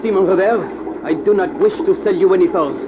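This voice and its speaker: A proud French-Canadian trapper played by a male actor in an old radio play, high-pitched and quick to take offense. His English is stilted and broken, and the recording is muffled with clear noise behind it. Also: close to an everyday voice. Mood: indignant, defiant. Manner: stilted broken English, speaks of himself by name. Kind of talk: 1940s radio acting.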